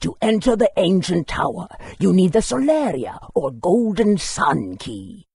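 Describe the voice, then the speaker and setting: Very raspy, creaky old sage. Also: male voice, high voice, very animated, measured pace, darkish tone, dry recording, heavily acted. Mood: helpful, wise. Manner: wordy, instructive. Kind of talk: fantasy video game character acting.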